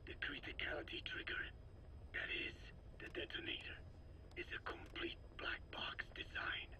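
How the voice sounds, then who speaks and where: An actor playing a precise, scheming man in a game's cassette tape, speaking in a hushed, near-whispered voice with clipped, formal explanations. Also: normal speed, natural voice. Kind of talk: game cassette tape dialogue.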